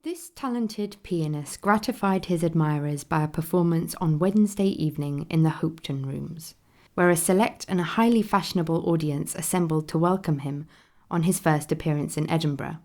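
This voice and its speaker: A woman playing a Victorian newspaper critic, reading an admiring review in a formal, deliberate manner for a radio play. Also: sits low, a little mellow.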